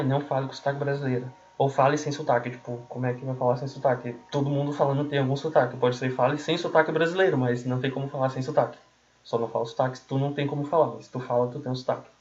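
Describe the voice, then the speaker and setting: A man's voice: medium pitch, darkish tone. Male tutor, Brazilian accent, mid-range voice, colloquial and explanatory, circles back to rephrase; dull lo-fi recording. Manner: colloquial, repeats and rephrases himself. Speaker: language tutor giving learning tips. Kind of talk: informal explanatory talk. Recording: dull, muffled lo-fi audio.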